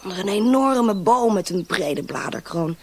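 An actress playing a dreamy, romantic young woman in love in a radio drama, wistful and often hushed and breathy. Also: mid-range voice, lively intonation, unhurried. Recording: clear outdoor nature noise.

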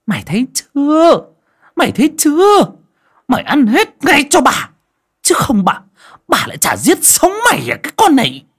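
A man's voice: extremely high pitch, wildly swooping pitch, moderate pace, a bit bright, pitched above the performer's own voice, heavily acted. A man voicing a vicious, bullying stepmother in a story, snarling and scolding coarsely. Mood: menacing.

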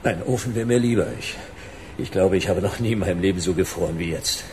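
Radio noir detective male actor: low voice, world-weary, sardonic, faint noise behind. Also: fast talker, a little mellow, lightly acted. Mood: grumbling. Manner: dry, sardonic. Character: wisecracking world-weary private detective, grumbling, adult man. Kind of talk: radio crime drama acting.

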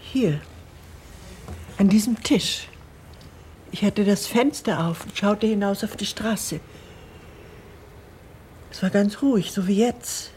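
A woman playing a courteous, habit-bound elderly retiree giving witness in a radio drama, hesitant and deliberate. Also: mid-range voice, darkish tone, a little hiss or hum, natural voice. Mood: precise, recollecting. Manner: polite, hesitant, deliberate storytelling.

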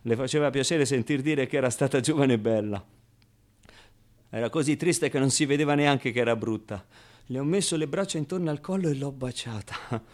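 An actor playing a naive, wistful, streetwise ten-year-old orphan in an expressive, halting radio monologue. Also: male voice, mid-range voice, close to an everyday voice. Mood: wistful, tender. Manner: expressive, halting, conversational storytelling with rhetorical tags. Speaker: solo actor.

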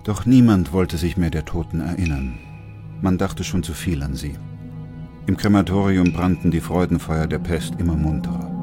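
An actor playing a grave, composed doctor who narrates a chronicle in a radio play, sober and measured. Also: male voice, deep voice, lightly acted.

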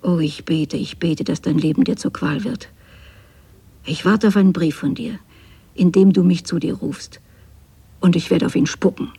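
Lonely bitter mum in a radio play, very raspy n creaky, hushed and intimate. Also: female voice, lowish voice, normal speed, pauses often, heavily acted. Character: lonely, possessive, bitter mother of a grown son.

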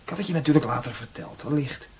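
An actor playing a defensive, hot-tempered young student in a radio drama, with a Netherlands Dutch accent and a husky voice. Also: male voice, a little high, fast, a little mellow, slight background noise, natural voice. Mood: defensive, sarcastic. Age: young adult.

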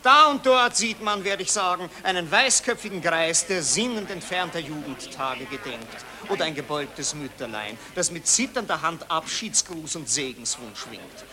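An actor playing a grumbling, timid and cynical Viennese reporter in a 1940s German radio play, theatrical and excitable. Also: male voice, on the high side, normal speed, hardly any pauses, a crowd in the background, lightly acted. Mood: weary complaint.

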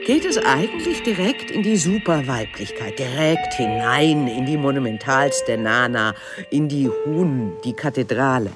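An actress playing a middle-aged woman artist looking back on her life in a measured, wry radio drama monologue. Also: rumbling low, animated, over loud music. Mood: reflective, wry. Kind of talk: literary first-person radio drama monologue.

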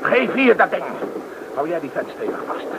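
Menacing, high-pitched gunman. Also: very animated, moderate pace, vocal fry, heavily acted. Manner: menacing, barking. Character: threatening, hot-tempered gunman villain. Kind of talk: old radio play acting. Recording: noisy old radio drama recording.

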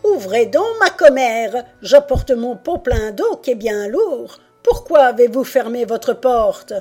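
A woman's voice: fairly high pitch, slow pace, darkish tone, faint music behind. Screeching, furious old storybook witch. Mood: demanding. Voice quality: grating, screeching. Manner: commanding, impatient, menacing. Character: furious shrieking old witch, night washerwoman from the marsh.

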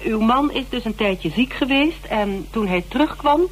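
An actress playing a measured, cautious investigator in a radio play, speaking deliberately with hesitations. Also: female voice, a little high, lively intonation, natural voice. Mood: formal, probing. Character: questioning investigator, measured and cautious, sometimes sardonic. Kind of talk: naturalistic radio play acting. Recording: noisy old radio broadcast with hum.

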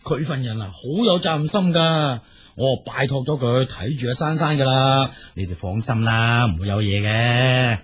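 A man playing a kindly old grandfather in a radio drama, halting and soothing, with a Hong Kong Cantonese accent. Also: mid-range voice, slight background noise, lightly acted. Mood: reassuring. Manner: halting, drawn-out, soothing, with filler particles.

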